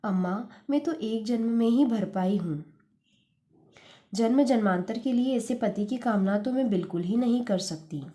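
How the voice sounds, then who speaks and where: A woman voicing a quiet, weary mother with a dignified, resigned manner in a storybook reading. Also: fairly high pitch, moderate pace, natural voice. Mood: calm, resigned. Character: calm, weary, resigned mother of two daughters. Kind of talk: Hindi storybook narration.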